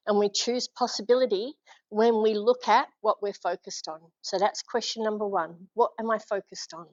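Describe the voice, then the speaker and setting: A woman's voice: a little high, no room sound. An Australian woman gives a live leadership talk with energy. She draws the room in with questions and encouragement. The sound is dull and dry. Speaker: leadership speaker. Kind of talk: live presentation to a small audience. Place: function room with an audience.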